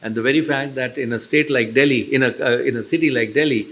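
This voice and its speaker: A man chairing a conference session, summing up and inviting speakers in a measured, formal way. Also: medium pitch, a bit bright. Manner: measured, formal, with filler restarts. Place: conference hall.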